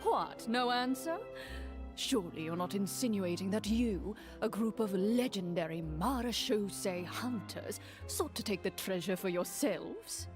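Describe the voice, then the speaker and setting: A woman voicing a poised game master who narrates a courtroom scene in a measured, formal, dryly stern way, in a video game. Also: mid-range voice, natural voice. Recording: game audio with clear music underneath.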